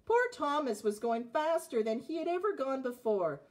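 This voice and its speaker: Expressive, higher-voiced woman reading a storybook. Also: moderate pace. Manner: expressive, clearly phrased narration with asides about the pictures. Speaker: storybook reader. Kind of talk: reading a children's picture book aloud. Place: indoors, child's playroom.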